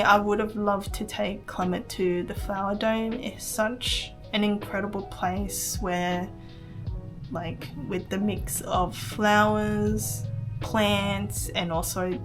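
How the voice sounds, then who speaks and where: A woman's voice: mid-range voice, fairly even intonation, slow pace, soft background music. A young woman with an American accent, reading a scripted travel vlog voice-over and recommending meals one by one. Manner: earnest, recommending, reads list items. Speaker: travel vlogger.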